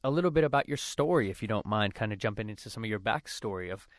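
A man's voice: fairly low pitch, expressive, fast, dry recording, clean studio sound. A man interviewing a guest in an upbeat, enthusiastic way with lots of fillers. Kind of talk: casual conversation.

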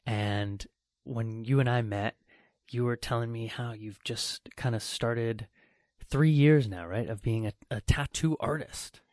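A man's voice: mid-range voice, unhurried, darkish tone, no room sound, studio quality. A man hosting a show, speaking in a chatty, effusive, casual interview style. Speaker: show host.

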